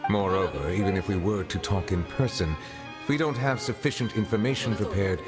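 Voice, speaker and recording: A very low male voice of an actor gives life to a courteous chief justice from a video game. He is formal and guarded, placing every phrase with measured precision while music plays prominently behind him. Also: close to an everyday voice. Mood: grave, cautious.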